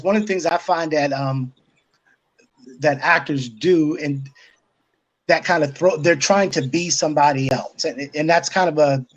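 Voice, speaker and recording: A man with a fairly high-pitched voice talks as a guest on a panel. He offers advice conversationally, feeling his way through his thoughts with halting phrases. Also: poor audio. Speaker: guest, stage play writer-director.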